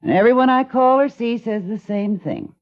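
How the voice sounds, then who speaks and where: A woman's voice: on the high side, lively intonation, moderate pace, natural voice. An actress playing a worried older widow who is raising her grandson, pleading earnestly for help in a vintage radio drama. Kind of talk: 1950s radio acting. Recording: dull lo-fi old radio broadcast.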